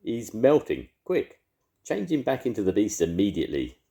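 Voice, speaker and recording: A man's voice: lowish voice, wildly swooping pitch, normal speed, vocal fry, dark tone, voice pushed up, exaggerated voice. Man doing a dry, sarcastic caterpillar. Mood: matter-of-fact. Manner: dry, matter-of-fact and sarcastic. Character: down-to-earth male caterpillar, dry and practical.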